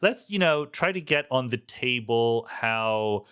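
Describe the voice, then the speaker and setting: A man's voice: medium pitch, animated, slow, fairly bright tone, clean tone. A man with an American accent speaking as a podcast host, introducing and discussing ideas in a conversational way.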